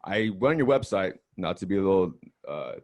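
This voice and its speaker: A man's voice: low-pitched, animated, fairly quick, darkish tone. A man hosting a podcast, talking in a friendly, upbeat way with casual slang. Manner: friendly, upbeat, uses slang like bro and dope. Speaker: podcast host. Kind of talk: casual interview talk.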